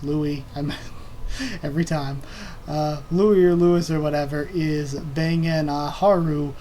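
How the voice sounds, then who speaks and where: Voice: mid-range voice, a little mellow, slight background noise, poor audio. A manga read-through host talking casually into a desk microphone, rambling with many fillers and self-corrections.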